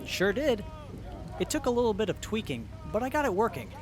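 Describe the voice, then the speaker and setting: A man's voice: sits high, sing-song, a little fast, over music. A man playing a nervous, lovestruck young tinkerer in an audio drama, earnest and often stammering. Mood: excited. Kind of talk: post-apocalyptic audio drama acting.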